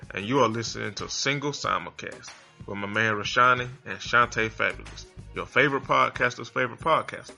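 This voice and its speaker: Male podcaster for a cocky promo, piercingly bright, creaky, light music behind. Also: mid-range voice. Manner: playfully boastful. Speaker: podcast host. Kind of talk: scripted promo.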